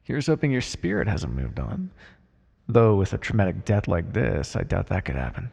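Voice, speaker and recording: A man playing a blunt, callous, wryly businesslike necromancer in an ASMR fantasy roleplay, muttering in a deadpan, gravelly voice. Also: low-pitched, moderate pace, full character voice. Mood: dry, businesslike. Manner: deadpan, muttering to himself in thought. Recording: long reverberant hall echo.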